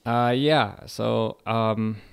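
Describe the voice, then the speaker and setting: A man's voice: lowish voice, fairly even intonation, extremely slow, gravelly, smooth, no echo. A young man from California, a literature lecturer, talking casually in a radio interview, hesitant, with many fillers and restarts. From California, United States.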